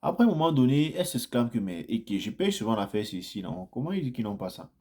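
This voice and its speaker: A man talking casually to viewers about his own experience, chatty and repetitive, getting animated as he tells anecdotes. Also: mid-range voice, fast talker, breathy edge. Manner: chatty, repeats phrases, grows animated in anecdotes. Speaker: vlogger sharing immigrant experience. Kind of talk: casual first-person storytelling to viewers.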